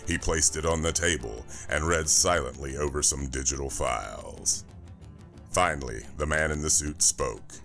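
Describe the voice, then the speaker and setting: A man narrating a science fiction thriller audiobook from a script, in a measured, dramatic way. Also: a bass voice, fairly bright tone, faint music behind.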